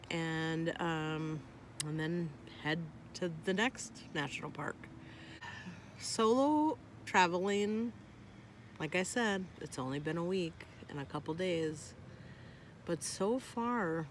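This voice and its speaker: An older woman chatting in an unhurried way to her phone camera, first inside a minivan and then outdoors at a campsite, with many ums. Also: fairly low pitch, slight background noise. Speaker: vlogger. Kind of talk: casual chat. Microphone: phone held at arm's length.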